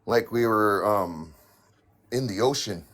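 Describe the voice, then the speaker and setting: A man calling in to tell a paranormal story, halting and full of fillers, with a raspy voice. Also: on the low side, a bit bright. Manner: halting, many fillers and restarts. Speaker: caller sharing a paranormal story. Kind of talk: hesitant casual storytelling.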